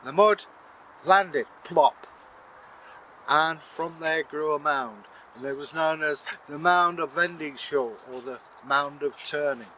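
A man's voice: a little high, slow, sharp tone, slight background noise, poor audio. An older man, telling a folk tale and chatting to camera in a garden, in a dry, good-humoured way. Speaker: channel host and storyteller. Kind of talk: casual storytelling and banter to camera. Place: outdoor garden by a pond. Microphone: camera mic at a few metres.

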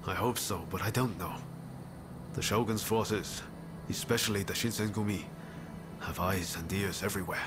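An actor playing a wary but resolute samurai ally in a video game, serious, cautious and urgent. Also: male voice, deep voice, steady tone, moderate pace, over music, lightly acted. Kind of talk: dramatic video game cutscene acting. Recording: game dialogue over noisy outdoor ambience.

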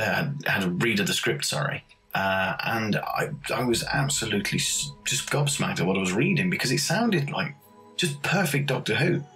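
A man's voice: on the low side, fairly bright tone, rough recording. A man, a composer, talking casually in an interview about his own work. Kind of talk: casual behind-the-scenes reminiscence.

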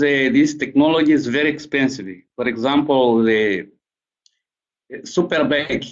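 Halting man with a Portuguese-speaking accent. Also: mid-range voice. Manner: halting, apologetic, searching for words. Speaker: program staff presenter. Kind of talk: remote webinar contribution. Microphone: video call. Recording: dull lo-fi call audio.

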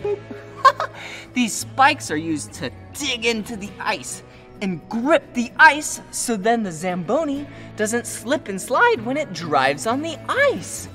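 A man's voice: high voice, pushed and bright. A young man hosting a children's educational show at an indoor ice rink, full of exclamations and giggles. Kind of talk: animated educational narration for toddlers.

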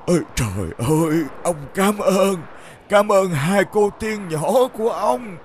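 A man voicing a hungry, shivering old beggar in a cartoon, speaking slowly and pitifully. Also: sits high, animated, a little hiss or hum. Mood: grateful. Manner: weary, pitiful.

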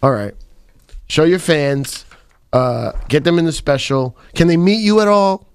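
A man's voice: fairly high pitch, expressive, measured pace, smooth. A man in his thirties hosting a radio interview, teasing his guest with playful questions. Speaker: radio host. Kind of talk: casual radio interview chat. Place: radio studio. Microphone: studio mic on a boom arm.

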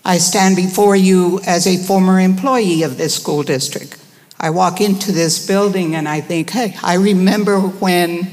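Older female public speaker, earnest and deliberate, unhurried delivery, long hall reverb. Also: on the low side. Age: 60s or older. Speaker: former school employee speaking at public comment. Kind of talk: prepared public address. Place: large indoor board meeting room. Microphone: gooseneck podium microphone.

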